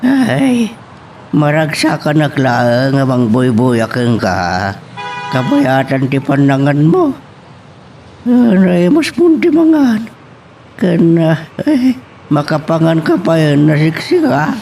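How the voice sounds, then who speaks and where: A man's voice: fairly high pitch, big pitch swings, takes it slowly, a little mellow, a little hiss or hum, exaggerated voice. A man playing a caring, fussing father of a grown daughter in an audio drama.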